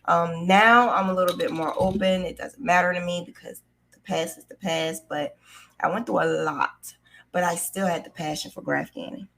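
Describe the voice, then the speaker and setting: Low-pitched woman telling a candid personal story. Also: fairly even intonation, normal speed, gravelly, fairly bright tone, a little hiss or hum. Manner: candid, conversational, repeats phrases for emphasis, acts out quotes. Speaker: crochet craft vlogger and storyteller.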